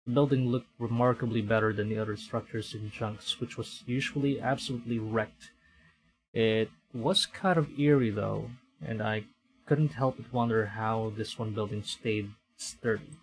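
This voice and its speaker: A man's voice: fairly low pitch, steady tone, normal speed, poor audio. Man narrating a visual novel on stream. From Philippines. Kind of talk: dramatic game narration with casual commentary.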